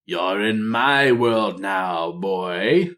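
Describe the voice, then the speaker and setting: Swooping, jeering trickster troll spirit. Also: male voice, lowish voice, lively intonation, very slow, a bit bright, full character voice. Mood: mocking, jeering. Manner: taunting, patois-like troll dialect.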